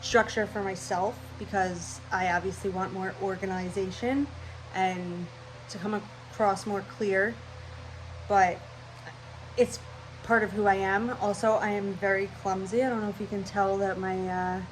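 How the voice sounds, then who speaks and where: A woman's voice: medium pitch, fairly even intonation, a little slow, a little hiss or hum, low-quality recording. A young woman with a stuffy, nasal voice, rambling casually through her channel intro at home. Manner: scatterbrained, rambling, chatty. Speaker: vlogger.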